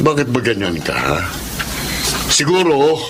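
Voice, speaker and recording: A middle-aged moneylender and father. He is stern and suspicious, with an average-pitched voice. The delivery is that of Filipino radio drama. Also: huge pitch swings, slow pace, noisy background.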